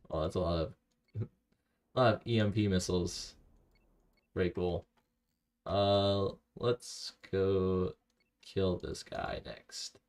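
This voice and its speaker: A man streaming a video game, talking to himself in a rambling, low-key way and reading chat aloud. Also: a little mellow. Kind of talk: casual live-stream commentary while playing.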